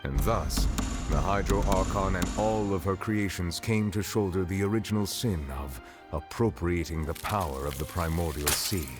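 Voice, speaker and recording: An actor playing a stern, composed chief justice in a video game, speaking in formal, measured pronouncements over music. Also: male voice, low voice, lightly acted. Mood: explanatory.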